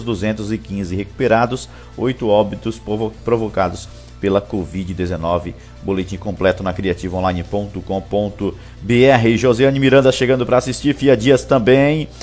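Male news presenter, 50s, low voice, live local bulletin over a music bed. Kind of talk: reading local news, greeting viewers live. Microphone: headset.

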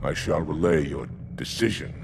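An actor playing a gruff, proud alien warrior commander in a video game, terse and military. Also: male voice, a bass voice, normal speed, background noise, heavily acted. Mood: restrained. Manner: terse, formal military bearing.

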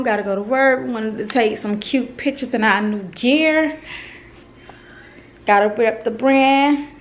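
A woman in her thirties hosting a casual vlog, a little hoarse from a cold, upbeat and playful as she talks to her kids. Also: sits high, fairly bright tone. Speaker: vlog host. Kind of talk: casual chat to camera.

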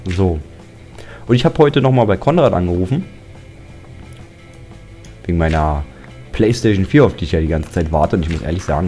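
Deep, chatty man over game music. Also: mellow. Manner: chatty, joking, grumbles at mobs. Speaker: Let's Play commentator. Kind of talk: casual gameplay commentary.

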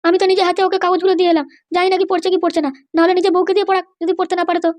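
Woman voicing a squeaky, scolding cartoon mother-in-law. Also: little pitch movement, darkish tone. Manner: scolding, rapid complaining. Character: scheming, aggrieved older mother-in-law in a comedy cartoon. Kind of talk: exaggerated cartoon dialogue.

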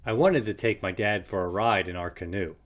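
A man narrating a picture book aloud for young children in an expressive storytelling manner. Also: lowish voice, a little fast, a little hiss or hum.

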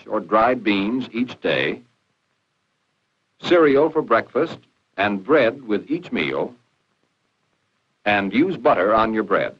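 A dull, lo-fi 1940s film soundtrack carries an American man narrating with animated, rising and falling pitch. His delivery is instructive and folksy, with a slightly husky edge, in the scripted style of an army training film. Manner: plain-spoken, folksy, instructive.

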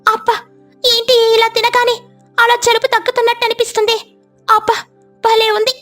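A woman voicing an excitable, friendly female parrot neighbour in a cartoon fable, gushing and exclamatory. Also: extremely high pitch, a little fast, faint music behind, pitched above the performer's own voice. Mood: amazed, delighted.

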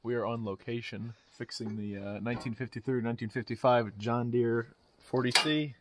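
Man, practical mechanic talk, matter-of-fact, mid pitch, loud outdoor noise. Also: fairly even intonation, moderate pace. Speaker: co-host mechanic. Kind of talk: casual chat while repairing a tractor. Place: outdoors in a yard.

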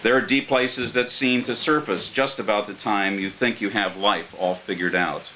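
An older American man reading his poetry live at a microphone, in a measured, earnest way. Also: on the low side, steady tone, pushed and bright, poor audio. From Martins Ferry, Ohio, United States. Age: older, around 60. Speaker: poet reading his own work. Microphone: microphone on a stand. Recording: clear background hiss.

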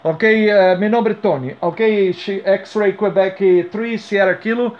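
A man's voice: high-pitched, fairly even intonation, takes it slowly, highs cut off. A man from Brazil with a Brazilian Portuguese accent, making a friendly, casual ham radio contact and giving call signs. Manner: friendly, cordial. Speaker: amateur radio operator.

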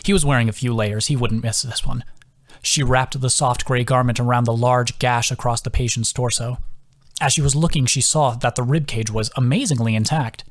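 A man's voice: mid-range voice, fairly even intonation, normal speed. A man reading scripted fantasy fiction as an audiobook narrator. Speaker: audiobook narrator, author reading own book.

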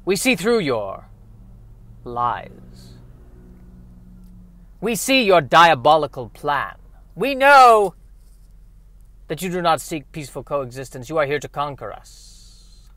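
A man's voice: fairly high pitch, sing-song, slow pace, pauses often, fairly bright tone, dry recording, heavily acted. A man acting a pompous, heroic doctor defying alien invaders in a sci-fi radio play, with grandiose declamation. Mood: defiant.

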